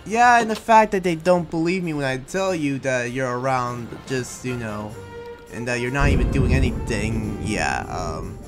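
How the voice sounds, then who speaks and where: A man's voice: mid-range voice, moderate pace, over loud music. A man speaking casually as a gaming host, improvising a roleplay as he plays.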